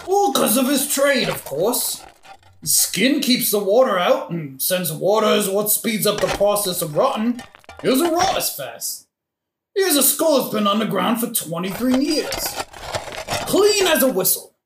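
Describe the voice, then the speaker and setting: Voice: mid-range voice, big pitch swings, a little slow. An actor in a satirical audio play playing a blunt, drunk, rambling old-hand gravedigger with a flippant, matter-of-fact manner. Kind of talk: satirical modern-language audio play acting. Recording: echoey room with faint noise.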